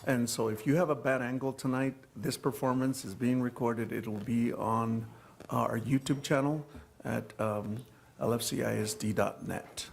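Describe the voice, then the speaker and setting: Gravelly-voiced man addressing a board. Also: medium pitch, unhurried, a little hiss or hum. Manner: relaxed, plain, with fillers. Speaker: school district official. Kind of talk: formal meeting remarks. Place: school board meeting room.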